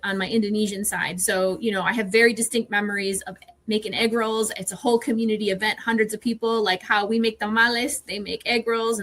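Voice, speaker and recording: A woman's voice: mid-range voice, a bit bright. A woman from Milwaukee, an election official, answering interview questions in an upbeat, chatty, personal way. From Milwaukee, United States.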